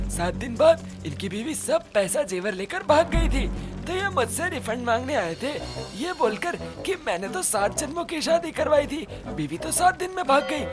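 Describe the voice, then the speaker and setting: Gossipy tattletale wedding priest, cartoon, high swoopy voice, music behind. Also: female voice. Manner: pointed, gossipy. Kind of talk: Hindi cartoon story voice acting.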